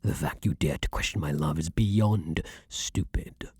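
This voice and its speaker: A man playing an imperious, lovesick vampire king in an intimate ASMR fantasy roleplay, speaking formally and theatrically with breathy, dramatic pauses. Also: a bass voice, a little mellow, dry recording, clean studio sound, full character voice. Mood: cold menacing fury. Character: ardent, imperious male vampire king, lovesick then menacing.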